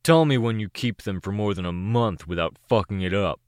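A reader voicing a sneering, cruel teen boy with a slow, contemptuous drawl, in an audiobook. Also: low voice, animated, no echo, studio quality, pitched above the performer's own voice. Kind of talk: audiobook character voice.

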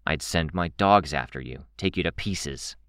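A man's voice: very deep voice, normal speed, a bit bright, clean tone, dry recording, clean studio sound. A man voicing a blunt, stern but caring woman dog trainer in an audiobook, terse and commanding. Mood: gruff. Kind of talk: audiobook character voice.

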